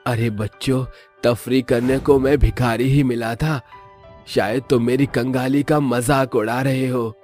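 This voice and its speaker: A man's voice: mid-range voice, steady tone, darkish tone, faint music behind, natural voice. Man putting on a pleading old beggar. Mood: suspicious. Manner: drawn-out, pleading calls. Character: poor old male beggar, pleading and suspicious.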